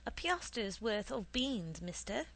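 A woman voicing an indignant, easily flustered young boy in a storybook, with a shrill edge. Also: very animated, measured pace, fairly bright tone, a little hiss or hum. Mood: calling out.

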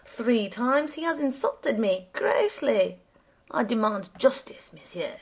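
An irascible old cabman from a storybook, acted out by a woman reader, quick to take offence. The voice has a creaky, rough edge and declaims its grievances in formal, indignant phrases. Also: a little high, expressive, voice pushed up, heavily acted. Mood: aggrieved, demanding. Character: enraged, perverse old male taxi driver, easily offended.

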